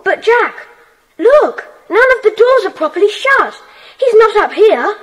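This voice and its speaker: A woman's voice: very high voice, sing-song, unhurried, heavily acted, rough recording. A woman playing a decisive, slightly bossy schoolboy gang leader in a children's audiobook drama. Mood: urgent, hushed. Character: Peter, decisive schoolboy leader of secret club.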